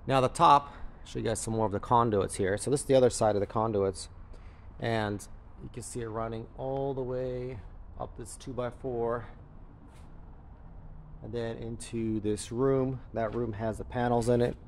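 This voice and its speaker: A man's voice: mid-range voice, steady tone, normal speed, a little hiss or hum. A man gives a casual, matter-of-fact, unscripted walkthrough, explaining things as he films on a handheld camera. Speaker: crypto mining farm operator giving a walkthrough. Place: large indoor warehouse with concrete floor. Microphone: handheld camera or phone held by the speaker.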